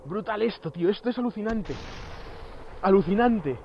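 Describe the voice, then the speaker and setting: A man in his thirties with a Spanish accent from Spain, giving excited commentary while playing a virtual reality game outdoors, exclaiming and laughing at his viewers. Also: high voice, normal speed, muffled recording, a little hiss or hum. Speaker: VR gaming youtuber. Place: outdoors on an empty road at dusk. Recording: music under voice. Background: background music.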